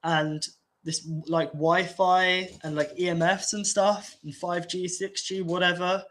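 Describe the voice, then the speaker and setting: A man, nasal and congested, talking in a slow, halting way with many restarts and fillers. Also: sits high, flat delivery, fairly bright tone. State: congested from an infection. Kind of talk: casual rambling talk.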